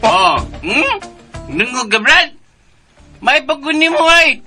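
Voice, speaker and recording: An actor playing a simple-minded, childlike younger brother in a radio drama, talking in a playful, taunting way. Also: male voice, extremely high pitch, animated, slow, bright, forceful tone, exaggerated voice. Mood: teasing. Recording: music bed behind the voice.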